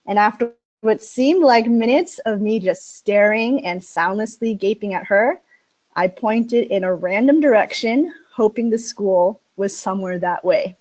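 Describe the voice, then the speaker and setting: A woman who teaches English as a second language, giving an upbeat, clearly spoken webinar presentation. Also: medium pitch, darkish tone, rough recording. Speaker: ESL instructor.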